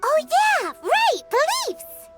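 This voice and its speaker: A woman's voice: very high-pitched, huge pitch swings, slow, over music, full character voice. A woman voicing a tiny, childlike, chirpy fairy companion in a video game, blunt and curious. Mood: pondering, eager. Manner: refers to herself in third person, exclamatory. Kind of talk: video game character dialogue.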